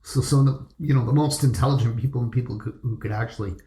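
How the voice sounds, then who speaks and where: A man's voice: mid-range voice, fairly even intonation, fast, dark tone, roomy sound. A man hosting an interview, chatting casually and asking follow-up questions. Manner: conversational, asks follow-up questions. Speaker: interview host, real estate agent.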